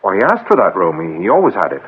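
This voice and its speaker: An actor playing a nervous, apologetic hotel keeper with a British accent, hesitant and deferential, in a radio drama. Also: male voice, low voice, wildly swooping pitch, fast. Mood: flustered. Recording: slightly dull radio recording, faint noise.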